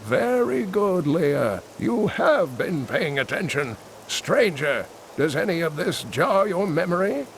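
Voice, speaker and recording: A man's voice: on the high side, big pitch swings, slow, slight background noise, heavily acted. A man voicing a wise old scholar and mentor, earnest and inquisitive, in a video game. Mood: eager.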